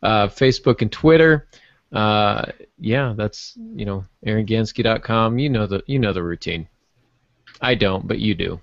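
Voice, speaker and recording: A man's voice: lowish voice, moderate pace, vocal fry, no echo. Male co-host for casual podcast banter, dryly teasing, no room sound, muffled lo-fi recording.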